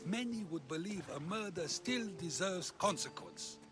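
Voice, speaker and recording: An actor playing a wry, talkative philosopher of ancient Greece who probes with measured questions in a video game. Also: male voice, sits high, expressive. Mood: probing, wry. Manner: inquisitive, measured, rhetorical questioning. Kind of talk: video game cutscene acting. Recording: music behind the voice.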